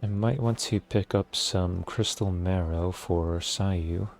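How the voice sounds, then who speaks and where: A man with a low voice talks through his game in a casual, low-key way. He takes his time, thinking aloud as he plays, with some music behind him. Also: slow pace, vocal fry, darkish tone. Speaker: game streamer. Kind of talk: casual solo gameplay commentary. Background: video game music.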